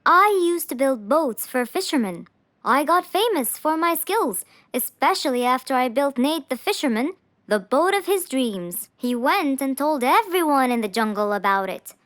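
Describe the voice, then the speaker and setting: A woman's voice: high-pitched, very animated, normal speed, fairly bright tone, dry recording, studio quality. A woman voicing an earnest, polite, anxious male jungle animal in a children's cartoon. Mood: earnest explaining.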